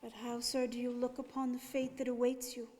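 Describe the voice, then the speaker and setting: A high, gentle voice carries through a reverberant hall: a devoted young princess played by a woman. She speaks formally and earnestly, pleading with grateful devotion in radio-play style. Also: monotone, a little fast, lightly acted. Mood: concerned. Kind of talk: stage radio drama acting.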